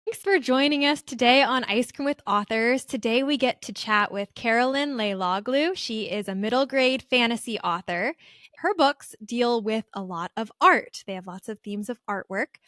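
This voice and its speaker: A woman's voice: on the high side, moderate pace, fairly bright tone, no echo, studio quality. A woman co-hosting a podcast in friendly, informal conversation. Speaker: podcast co-host.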